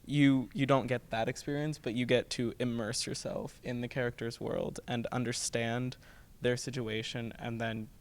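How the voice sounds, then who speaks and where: A man's voice: mid-range voice, flat delivery, normal speed. A young man, a student actor, giving an earnest, reflective interview answer. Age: young adult.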